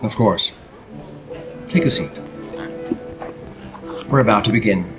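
A man's voice: on the low side, slow, music in the background. A man acting in an audio drama as a showy, insistent interviewer hosting a futuristic broadcast show. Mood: brisk, casual. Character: male sci-fi talk show host, probing and smooth. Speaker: radio show host.

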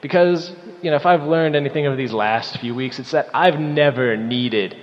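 A man's voice: medium pitch, lightly acted. Cocky male wrestling heel, acted by a man, sarcastic and menacing promo delivery, dull recording with long reverb. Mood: angry, menacing. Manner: mocking, menacing, halting with repeated words. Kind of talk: rambling wrestling promo with profanity. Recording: dull lo-fi audio in echoey hall.

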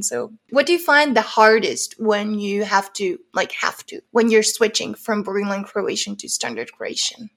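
A woman's voice: mid-range voice, fairly bright tone. Hesitant woman asking questions. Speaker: interviewer. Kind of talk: casual interview.

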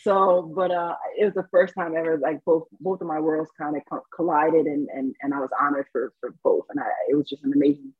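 A woman's voice: sits low, fairly even intonation, brisk, few pauses, slightly rough, no room sound, phone-quality sound. A woman basketball coach from Saint Lucia who grew up in New York, speaking with a New York accent and a light Caribbean lilt. She talks chattily in an interview, laughing often and restarting her sentences. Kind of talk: casual interview answers.

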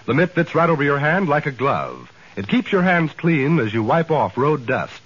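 Male American commercial announcer, 1940s salesman delivery, fairly high rough-edged voice, muffled old broadcast. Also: sing-song, moderate pace, fairly bright tone. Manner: salesmanlike, direct address. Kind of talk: 1940s radio sales pitch, scripted. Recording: dull vintage 1940s radio recording.

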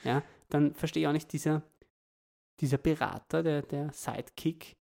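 A man's voice: on the high side, steady tone, normal speed, vocal fry, darkish tone. A man, a young parent co-hosting a casual podcast, joking and ranting with exaggerated disbelief. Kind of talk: casual chat.

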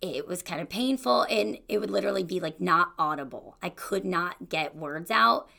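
Young adult woman, podcast host, casual encouraging monologue, gravelly fry in the voice. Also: on the low side, moderate pace, bright, forceful tone. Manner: chatty and encouraging, with fillers like "you know" and "like".

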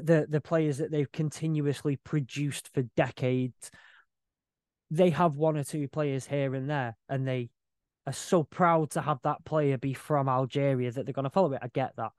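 A man's voice: mid-range voice, a little mellow, no room sound. Man chatting loosely on a dry mic. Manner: loose, searching for words. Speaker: podcast guest. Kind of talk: casual chat.

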